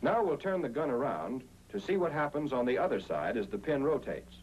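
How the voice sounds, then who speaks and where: American man, animated 1940s training-film narrator. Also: mid-range voice, fairly quick, lo-fi sound. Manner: methodical, explanatory. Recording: noisy 1940s film soundtrack with hum.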